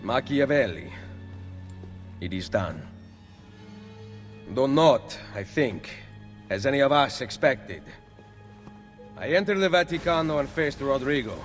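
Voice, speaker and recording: A man acts out a grave, confident assassin hero with an Italian accent. He speaks in a solemn, measured, formal way at a middling pitch, as in a video game cutscene, with music underneath. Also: lively intonation, close to an everyday voice.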